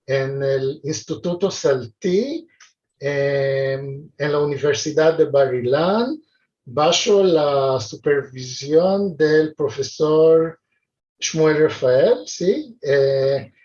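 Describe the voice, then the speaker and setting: A male host speaks very slowly and hesitantly, filling his pauses with "eh". He sounds distant from the mic. The video call audio is dull and lo-fi. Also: on the high side, darkish tone. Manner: hesitant, many fillers. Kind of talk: hosting an online talk.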